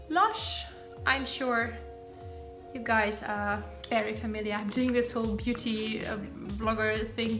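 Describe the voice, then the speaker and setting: A woman in her thirties, Ukrainian-born with Russian as her first language, speaking English with a light British tinge, chatting through her skincare routine with lots of ums and asides. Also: a little high. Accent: light British-tinged English over a Russian and German background. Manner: chatty, rambling, frequent ums and self-corrections. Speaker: beauty vlogger. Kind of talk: chatty get-ready-with-me monologue. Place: bedroom at home, indoors.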